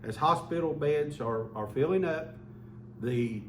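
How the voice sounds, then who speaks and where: A man's voice: a little high, unhurried. A man giving an earnest public health update as a local official, often repeating words as he restarts. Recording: echoey room, mic far off, faint hum.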